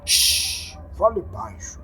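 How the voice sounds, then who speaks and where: A man voicing a composed, secretive male employer in a horror story, speaking in a controlled, hushed way. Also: slow pace. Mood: curt. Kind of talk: dramatic horror story reading. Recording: faint background noise.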